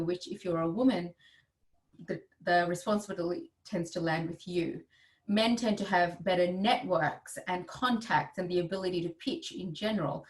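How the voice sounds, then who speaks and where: Female conference speaker, lowish pitch, emphatic explainer, recorded off-mic. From India. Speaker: advisor presenting at a summit. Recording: distant microphone.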